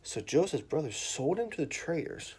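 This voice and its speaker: A man's voice: on the high side, moderate pace, slightly rough. A man in his thirties hosting a bedtime story livestream, chatting casually with lots of fillers and then reading aloud. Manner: relaxed, rambling, lots of fillers.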